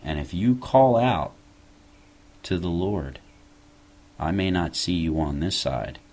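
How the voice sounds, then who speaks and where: Very deep-voiced male preacher. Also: expressive, slow pace, a little mellow. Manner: earnest, exhorting, rising on key phrases. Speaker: show host preaching. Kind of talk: evangelistic sermon.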